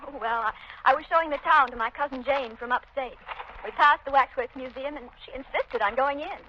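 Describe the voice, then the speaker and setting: Woman playing earnest society lady, high voice, curious, muffled noisy old radio play. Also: brisk, natural voice. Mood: chatty, shaken. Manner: polite, earnest, questioning. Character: genteel, inquisitive young lady companion to a sleuth. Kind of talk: 1930s radio drama acting. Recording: noisy, dull 1930s radio recording.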